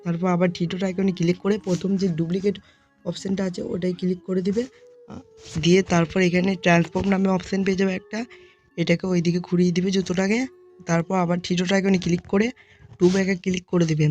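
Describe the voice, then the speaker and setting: A tutorial host narrating software steps one by one, speaking informally to viewers as friends. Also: medium pitch, flat delivery, quick pace, dull audio, faint music behind.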